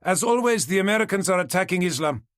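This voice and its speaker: A man's voice: high voice, expressive, fast, fairly bright tone, no room sound, studio quality, voice pushed up. A man voicing a tall young male protester who speaks angrily from the floor. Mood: angry. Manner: angry declaiming.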